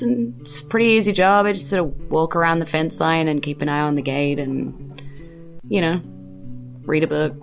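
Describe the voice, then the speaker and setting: A woman's voice: lowish voice, gravelly, a bit bright. A woman playing a laid-back, naive young female farm guard in improvised tabletop roleplay, talking in a hesitant, vague, rambling way.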